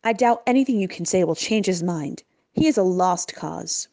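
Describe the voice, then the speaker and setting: A woman acts out a guarded townsman from a storybook. He sounds bitter and dismissive, giving his words grudgingly. The tone is mellow and the recording dry. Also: fairly low pitch, lively intonation, normal speed, no echo. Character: guarded, bitter small-town local man.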